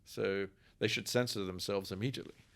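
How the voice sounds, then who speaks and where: A man's voice: lowish voice, steady tone, a little fast, vocal fry, fairly bright tone. A middle-aged man with an English accent talking discursively and wryly as a guest in a conversational interview, often repeating words as he thinks. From England. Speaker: professor, podcast guest.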